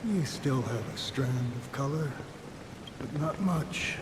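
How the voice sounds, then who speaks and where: A man's voice: medium pitch, slow, lightly acted. Rain-drowned man acting a grave, fatalistic observer. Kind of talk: anime game voice acting. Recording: distant voice under loud rain noise.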